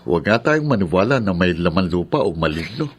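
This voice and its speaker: An actor playing a level-headed, dismissive grown son in a Filipino radio drama. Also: male voice, low-pitched, sing-song, fast, breathy edge, exaggerated voice. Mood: reassuring. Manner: dismissive, matter-of-fact.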